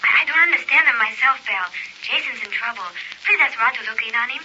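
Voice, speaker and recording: A fretful young-sounding lady with premonitions in an old radio thriller. Her voice is high, very sharp and tense. The recording is dull, with faint hiss. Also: quick pace. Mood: anxious. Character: anxious, fretful woman with premonitions. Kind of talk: vintage radio drama acting. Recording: hissy, muffled vintage radio recording.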